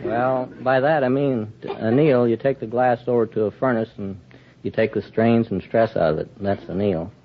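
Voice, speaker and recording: A man's voice: medium pitch, moderate pace, over a noisy crowd. A man answering as a radio quiz contestant, unscripted, literal and a little hesitant. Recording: old radio, muffled, noisy audience.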